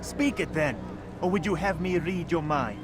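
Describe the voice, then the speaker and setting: An actor playing an imperious, dismissive superior of assassins in a video game cutscene, clipped and sardonic. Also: male voice, on the high side, normal speed, lightly acted. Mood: curt, impatient. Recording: loud music behind.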